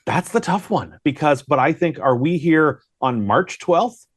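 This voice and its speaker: A man's voice: fairly high pitch, lively intonation, unhurried, slightly rough, dry recording, clean studio sound. A man giving conversational hockey analysis as a guest broadcaster, often posing questions to himself. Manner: thinks aloud with rhetorical questions.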